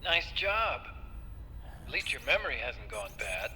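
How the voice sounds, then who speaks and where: A man's voice: medium pitch, sing-song, measured pace, pushed and bright, slight background noise, heavily acted. A man playing a friendly, chatty tech-expert sidekick in a video game, with an American accent and a lightly teasing, helpful way of talking. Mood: casual, focused.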